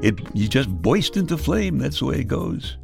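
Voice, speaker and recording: Wry Brooklyn-accented man, lively delivery. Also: mid-range voice, normal speed, gravelly, over music. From Brooklyn, New York. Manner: wry deadpan storytelling with chuckles. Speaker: radio humor host. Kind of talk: scripted comic monologue.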